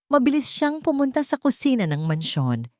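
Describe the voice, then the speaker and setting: A woman with a Filipino accent narrates a scripted story in a measured, sentimental, dramatic way. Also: high-pitched, very animated, no room sound, clean studio sound. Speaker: narrator of a romance story channel.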